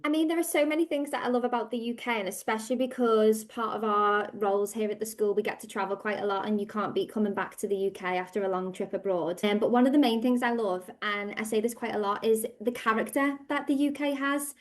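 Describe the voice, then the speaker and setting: A woman with a Scouse accent, a language school director talking in a chatty, upbeat way on a podcast interview. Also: fairly high pitch. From England. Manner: chatty, upbeat, repeats phrases.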